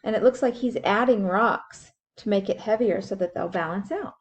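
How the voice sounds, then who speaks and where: A woman's voice: mid-range voice, moderate pace, dull audio. A woman teacher reading a science book aloud for young children, explaining things simply and putting questions to her listeners. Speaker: school teacher.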